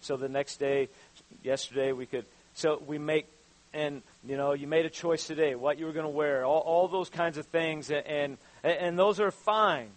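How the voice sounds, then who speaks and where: A pastor in his fifties preaches with a high voice, doubling back over his words before rising into urgent calls to his listeners. The sound is dry and close, with almost no room around it. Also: fairly even intonation, dry recording. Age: 50s. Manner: halting, repeats words, rises to fervent exhortation. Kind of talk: sermon.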